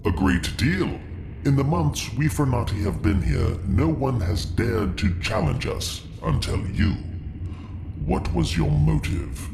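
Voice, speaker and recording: Guy doing a cold bossy alien commander for an audio drama, super gravelly, swingy pitch, stiff formal wording. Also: big pitch swings, slow pace, reverberant, background noise, heavily acted. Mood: stern interrogation. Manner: formal, clipped, stilted speech without contractions. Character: cold, imperious alien commander of a conquering race.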